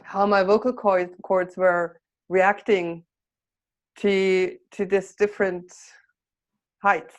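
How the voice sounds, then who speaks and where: Female workshop participant, mid-pitched voice, hesitant, filler-heavy speech. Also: flat delivery, takes it slowly, long pauses, highs cut off. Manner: hesitant, many ums.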